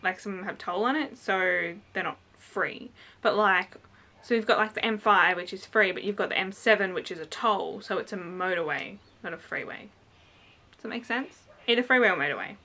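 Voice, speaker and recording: A woman's voice: mid-range voice, moderate pace, bright, forceful tone. A young woman from New South Wales with a broad Australian accent, chatting casually to a camera in a small room and rambling with lots of ums and likes. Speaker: vlogger. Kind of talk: casual vlog chat. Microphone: camera in front, head and shoulders framing.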